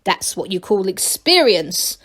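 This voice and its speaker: A woman's voice: mid-range voice, very animated, a little slow, fairly bright tone. A woman playing a proud, blunt old granny gnome in a storybook, scornful and no-nonsense.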